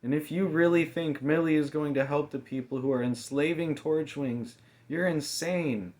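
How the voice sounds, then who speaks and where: Man voicing a venomous, forceful young female thief. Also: a little high, normal speed, pitched above the performer's own voice, natural voice. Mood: enraged. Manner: snapping, forceful threats. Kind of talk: audiobook character dialogue.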